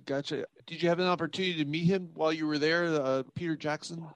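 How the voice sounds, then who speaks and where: A man's voice: on the high side, fairly even intonation, fast talker, no echo, rough recording. A man hosting a conversational radio interview.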